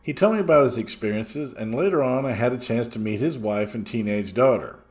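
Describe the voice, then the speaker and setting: A man's voice: medium pitch, brisk, darkish tone. A man reading a first-person story aloud as scripted podcast narration. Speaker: podcast host reading listener stories.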